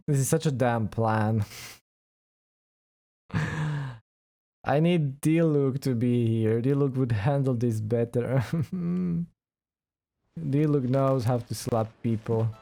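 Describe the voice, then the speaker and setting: A man's voice: medium pitch, takes it slowly, creaky, pauses often, dark tone. A man giving casual let's play commentary, muttering wry reactions as a game streamer. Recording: faint game music behind.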